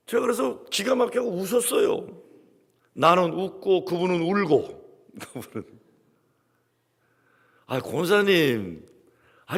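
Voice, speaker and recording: Gravelly male preacher in a big hall. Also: a little high. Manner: lively storytelling, quoting congregants, dramatic drops to near whispers. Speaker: guest pastor. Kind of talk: revival sermon with storytelling. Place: large church sanctuary. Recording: long reverb of a large hall.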